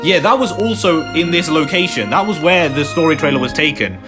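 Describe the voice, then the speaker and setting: A man's voice: fairly high pitch, lively intonation, a little fast. Rambling chatty gaming commentator man. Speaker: gameplay commentator. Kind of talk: casual let's-play commentary. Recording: game music behind.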